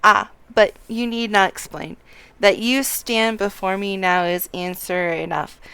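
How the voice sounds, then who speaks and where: A woman's voice: mid-range voice, steady tone, a little slow, gravelly, bright, forceful tone, clear, resonant tone, no room sound. A woman voicing a hearty, commanding recruiting officer as she reads game dialogue aloud in a formal, rousing way.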